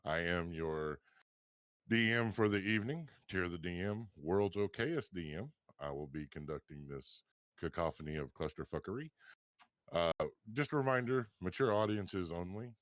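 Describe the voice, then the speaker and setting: A man with a low voice hosts a tabletop roleplaying show. He announces things with a playful, theatrical touch, and the sound is close and dry. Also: animated, normal speed, fairly bright tone, dry recording. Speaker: game master and show host. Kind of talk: hosting intro of a tabletop game show.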